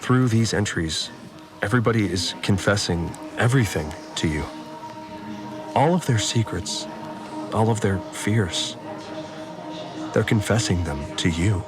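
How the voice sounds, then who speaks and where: Deep-voiced, devout, troubled farmer. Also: male voice, expressive. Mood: awed, halting. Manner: halting, repeats words, confessional. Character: troubled, devout farmer confined on a spaceship, guilt-ridden and obedient. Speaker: voice actor in an audio drama. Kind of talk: confessional audio diary monologue in a science fiction drama. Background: music.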